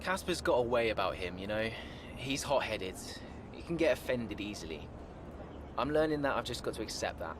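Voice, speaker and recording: An actor playing a polite, guarded young racing driver answering interview questions in a video game. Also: male voice, lowish voice, very animated, moderate pace, background noise. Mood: guarded, cool. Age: young adult.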